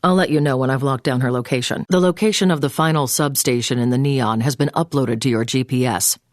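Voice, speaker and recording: An actress playing a brisk, commanding intelligence agent in a video game, giving mission orders in a businesslike, clipped way. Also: female voice, extremely low pitch, no echo, studio quality. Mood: businesslike, urgent. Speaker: mission handler over the phone. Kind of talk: scripted video game voice acting.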